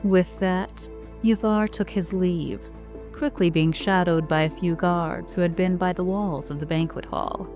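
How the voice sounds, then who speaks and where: Lady narrator for an audio drama, low voice, slow measured reading, bit of music behind. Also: fairly even intonation.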